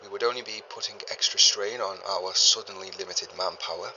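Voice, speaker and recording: A deep, forceful, grave 1930s archaeologist. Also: male voice, fairly even intonation, dull audio, slight background noise. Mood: shaken, worried. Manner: formal, deliberate, grave, dramatic pauses. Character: 1930s archaeologist doctor, learned, measured, increasingly uneasy man. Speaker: expedition leader keeping a recorded log. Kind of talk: scripted audio drama monologue, spoken journal.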